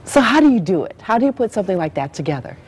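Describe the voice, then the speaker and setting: A woman's voice: mid-range voice, sing-song, rapid-fire, darkish tone, slight background noise. A woman hosting a show, interviewing a guest in a conversational, promotional style.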